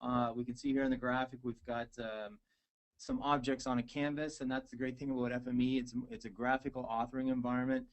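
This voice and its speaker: A man from Nova Scotia presenting software demos in a webinar, easygoing, with many fillers and hesitant restarts. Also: mid-range voice, flat delivery, fairly quick. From Nova Scotia, Canada. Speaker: technical presenter.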